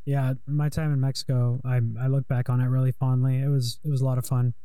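Mellow-voiced man, dry close sound. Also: mid-range voice, level tone, fast talker, smooth, dry recording. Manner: rambling, hesitant, lots of fillers. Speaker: interviewer. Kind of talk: casual conversation.

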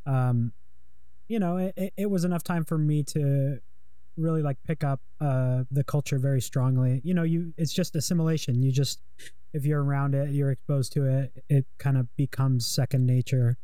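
Mellow-voiced man, dry close sound. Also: medium pitch, fairly even intonation, moderate pace, dry recording. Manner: rambling, hesitant, lots of fillers. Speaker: interviewer. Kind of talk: casual conversation.

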